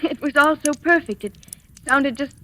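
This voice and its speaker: A woman's voice: high voice, normal speed, sharp tone. An actress playing an earnest, timid woman in her twenties, hesitant and stammering, in 1940s radio style. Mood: eager, flustered. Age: 20s. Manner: hesitant, breathless stammering, eager. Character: earnest, timid young woman of twenty-five seeking work. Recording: noisy old 1940s radio recording.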